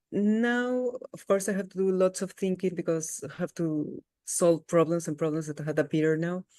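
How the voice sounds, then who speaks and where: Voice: mid-range voice, warm tone. Chatty caller with nervous laughs. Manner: talkative, laughing, tag questions. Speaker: caller asking questions. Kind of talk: personal question over a video call. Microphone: video call.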